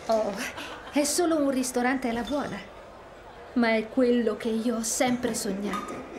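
Woman playing a high-voiced hopeful young heroine. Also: unhurried, noisy background, lightly acted. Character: young woman restaurateur, hopeful, hardworking, kind. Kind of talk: animated game dub. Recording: crowd and street ambience behind.

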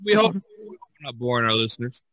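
Man, American accent, podcast host, halting casual delivery, crisp forward tone, very dry sound. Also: mid-range voice, sing-song, a bit bright, no echo, rough recording. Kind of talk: casual podcast talk with announcing.

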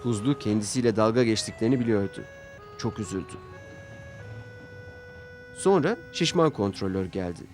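A man's voice: lowish voice, expressive, moderate pace, pauses often, a little mellow. A man narrating a scripted children's story as its storyteller. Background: music under the voice.

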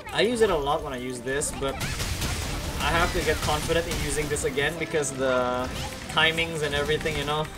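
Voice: mid-range voice, a little fast, loud music behind the voice. A young adult streamer from Indonesia with an American English accent, chatting casually with viewers while playing, laughing often and correcting themself. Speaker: game streamer.

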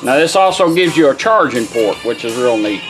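A man's voice: medium pitch, animated, moderate pace, low-quality recording. A man giving a casual, folksy product demonstration in plain-spoken words. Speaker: radio restorer demonstrating a product. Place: indoor workbench demonstration. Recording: radio and music playing behind. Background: car radio broadcasts and music.

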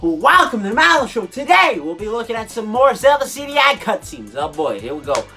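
A woman hosting a comedy show with casual, sarcastic reaction commentary, exasperated and halting as she repeats herself. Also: a little high, sing-song, vocal fry, pushed and bright, faint music behind. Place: small indoor room full of collectibles.

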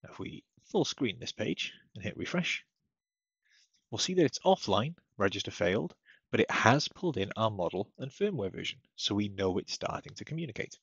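A man giving a casual step-by-step software tutorial as a technical support presenter, recorded as a voice-over at his computer. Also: lowish voice, big pitch swings, normal speed, gravelly, breathy edge, no room sound. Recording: dull lo-fi close dry microphone.

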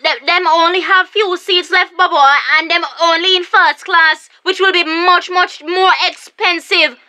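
A woman's voice: very high voice, steady tone, a little slow, sharp tone, heavily acted. An actress playing a pushy, wheedling, impatient girlfriend with a Caribbean accent in a radio comedy drama.

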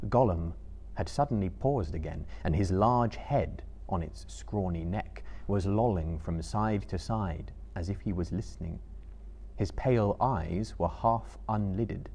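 A man in his thirties giving a theatrical, deliberate dramatic narration of a novel as a storyteller. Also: sits low, a little mellow, husky. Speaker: storyteller, reader. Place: seated in a book-lined room before a live audience.

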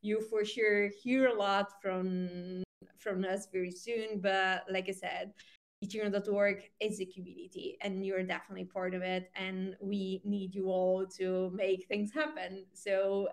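A woman introducing herself as a community coordinator on a group call. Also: medium pitch. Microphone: online voice call.

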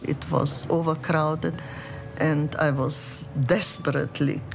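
An older woman from Czechoslovakia recalling her past in a documentary interview, speaking in a measured, reflective way. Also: sits low, flat delivery, vocal fry, a little hiss or hum. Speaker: interviewee, refugee. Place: dark studio set, indoors.

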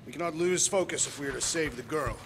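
An actor playing a literal-minded warrior who speaks formally without contractions. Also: male voice, fairly high pitch, quick pace, creaky, fairly bright tone, a little hiss or hum.

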